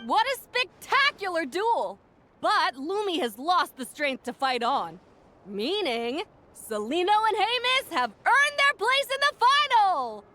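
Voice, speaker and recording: A woman's voice: very high-pitched, big pitch swings, unhurried, bright, forceful tone, exaggerated voice. A woman voicing a peppy, showy female tournament announcer in a video game, theatrical and emphatic. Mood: excited, showy. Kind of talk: video game sports commentary.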